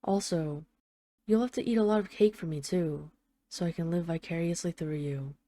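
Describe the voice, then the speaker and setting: A woman's voice: on the low side, mellow, close to an everyday voice. A woman playing a tough but tender, jealous mafia boss, speaking intimately to an absent partner in a scripted audio roleplay voicemail. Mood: fond, affectionate. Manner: intimate, halting, sweary, addressing an absent lover.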